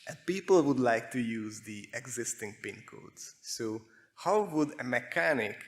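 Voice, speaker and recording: A man with a Hungarian accent giving a prepared technical presentation, speaking in measured short phrases with pauses. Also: medium pitch, creaky. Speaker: conference speaker, security researcher.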